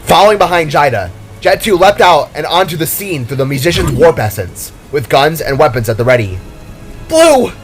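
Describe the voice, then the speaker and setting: A man with a fairly high voice delivers dramatic scripted narration. He tells an action tale as storyteller, with music playing behind him. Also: expressive, a little fast.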